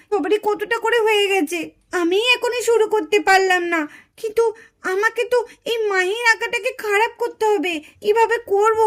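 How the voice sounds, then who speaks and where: A woman voicing a sly, jealous, whiny little girl in a puppet-show cartoon. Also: very high voice. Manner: scheming, self-justifying, whiny.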